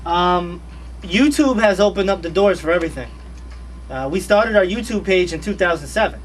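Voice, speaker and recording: Brief: high-pitched man, radio guest, slangy and repetitive, a little off-mic. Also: normal speed, recorded from a distance. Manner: laid-back, animated, slangy, repeats phrases. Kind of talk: casual radio interview chat. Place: radio studio.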